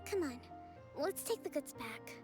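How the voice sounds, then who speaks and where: A woman voicing a calm, composed young female leader and strategist in a video game, speaking in a measured, explanatory way. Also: high voice. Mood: thoughtful. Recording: game audio with music underneath.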